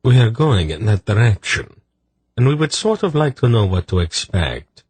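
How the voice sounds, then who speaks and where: A man's voice: fairly low pitch, big pitch swings, moderate pace, a little mellow, breathy edge, no echo, voice pushed up. A man voicing a wry, courteous traveller in a storybook, with a casual manner. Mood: probing.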